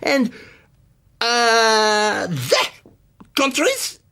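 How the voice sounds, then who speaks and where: A man playing a pompous, self-satisfied Victorian English gentleman in a theatrical reading, with grand, drawn-out oratory. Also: very high voice, big pitch swings, gravelly, voice pushed up, full character voice.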